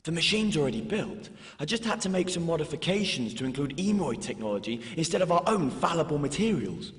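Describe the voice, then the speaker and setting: A man's voice: a little high, big pitch swings, brisk. A man playing an exasperated, sardonic college professor in an audio drama. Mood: resigned, bitter. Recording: echoey hall, distant from the mic.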